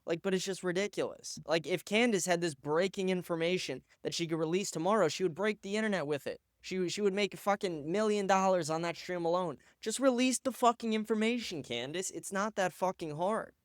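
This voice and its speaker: A man's voice: high voice, brisk, few pauses, clean tone, no echo, clean studio sound. A man hosting his own live stream, reacting to clips and posts in a blunt, profane, ranting way. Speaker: show host.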